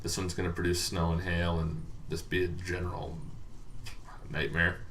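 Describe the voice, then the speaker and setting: A man's voice: low-pitched, completely flat delivery, moderate pace, a bit bright. A man giving a casual, unscripted explainer as a dungeon master, talking in a loose, rambling and hesitant way. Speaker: dungeon master explaining tabletop monster lore. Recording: faint background noise.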